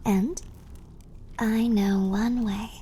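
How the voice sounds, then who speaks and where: A woman playing a clumsy, affectionate and slightly mad plague doctor girlfriend in a theatrical, breathy ASMR roleplay. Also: fairly high pitch, fairly even intonation, drawn-out words, dark tone, a little hiss or hum. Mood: gentle, musing. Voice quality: breathy, often whispered. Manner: theatrical, affectionate, quaint turns of phrase. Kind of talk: ASMR roleplay, scripted character acting.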